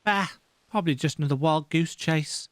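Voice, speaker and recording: A man's voice: a little high, normal speed, a bit bright, no room sound, natural voice. A man voicing a sceptical, uneasy soldier in a fantasy video game, grumbling in a folksy, gossipy way. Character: jumpy, sceptical, grumbling male imperial soldier.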